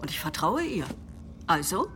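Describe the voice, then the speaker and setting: A woman's voice: on the low side, big pitch swings, normal speed, background noise. An actress playing a stern, expectant woman of authority in an audio drama.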